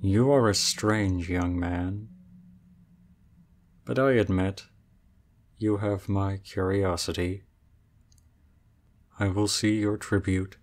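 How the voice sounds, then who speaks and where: A man playing an aloof, regal dragon in human form for an ASMR roleplay, speaking in formal, measured, deadpan phrases. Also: deep voice. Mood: reserved, mildly puzzled. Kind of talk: ASMR roleplay audio drama.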